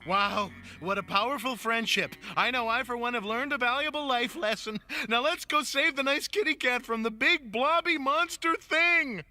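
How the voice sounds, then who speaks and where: A man voicing a grumpy, self-centred cartoon cat sidekick with deadpan, wisecracking delivery. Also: a little high, normal speed, soft background music. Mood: mock sincere. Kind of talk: animated cartoon acting.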